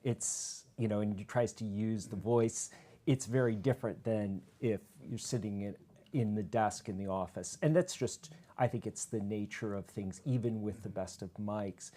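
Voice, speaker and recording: Middle-aged man, lowish voice, panel talk. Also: steady tone, normal speed, mellow. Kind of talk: conversational panel discussion.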